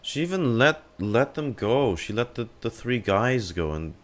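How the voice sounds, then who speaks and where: A man's voice: mid-range voice, sing-song, unhurried. A man streaming a video game, giving casual, exasperated reaction commentary full of swearing and muttered asides.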